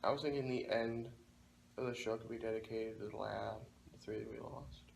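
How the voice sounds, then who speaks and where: A man's voice: on the low side, steady tone, a little fast, creaky. A man talking casually and informally as a co-host, in a loose, rambling way. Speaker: show co-host and comics seller. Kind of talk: casual chat. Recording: echoey room, constant noise.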